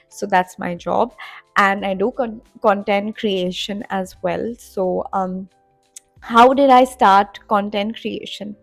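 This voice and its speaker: Chatty young woman with a gravelly edge. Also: mid-range voice, dry recording. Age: 20s. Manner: chatty, rambling with many fillers and restarts. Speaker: content creator. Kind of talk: casual vlog talk to camera. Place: home in Dubai.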